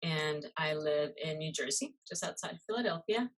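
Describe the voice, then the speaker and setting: A low-pitched woman from Costa Rica talks through an interview in a relaxed, conversational way. She leans on fillers and loose asides as she tells her story. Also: moderate pace, rough recording. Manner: chatty, many fillers and "you know". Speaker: guest, muralist and illustrator. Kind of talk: casual interview chat.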